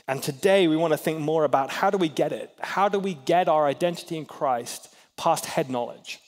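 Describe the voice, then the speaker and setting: A man in his thirties, raised in Hong Kong, preaching a chatty sermon in a church and asking the crowd questions with self-deprecating humor. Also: mid-range voice. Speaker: pastor. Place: large church hall. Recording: long hall reverb.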